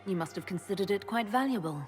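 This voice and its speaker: A woman's voice: mid-range voice, expressive, fast, lightly acted. An actress plays an elf mage leader in a fantasy game cutscene. Her mid-range female voice is controlled, direct and probing. Music plays behind her. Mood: composed, probing. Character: composed female elf mage leading an inquisition.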